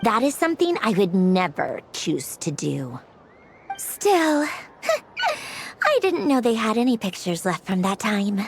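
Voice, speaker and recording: A woman's voice: mid-range voice, huge pitch swings, takes it slowly, slight background noise, exaggerated voice. A woman voicing an aloof, prickly young woman in a video game, curt and haughty, hiding her feelings. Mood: wistful, disdainful. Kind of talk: video game character acting.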